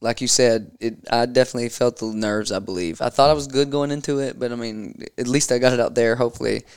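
A man's voice: mid-range voice, fast, clean tone, no echo, clean studio sound. A man appearing as a guest on a casual podcast. He talks hesitantly and hedges with fillers. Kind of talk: casual chat.

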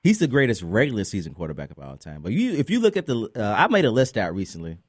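A man co-hosts sports talk radio with a fairly low voice that swings widely in pitch as he talks. He speaks very fast and with strong opinions, often stumbling over his words. Faint music plays behind him in the studio. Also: huge pitch swings, breathy edge, dry recording. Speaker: radio show co-host.

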